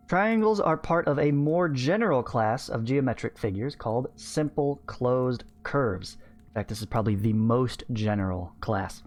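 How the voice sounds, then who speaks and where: A man in his thirties teaching math, reading a textbook aloud and adding informal explanatory asides into a close microphone. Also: medium pitch. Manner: informal, explanatory, often checking with "right?" and "okay". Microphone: close studio microphone on a stand.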